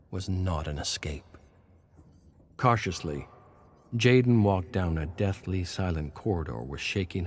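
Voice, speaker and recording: A man with a low voice delivers dramatic horror narration. His reading is deliberate and ominous, over faint background noise.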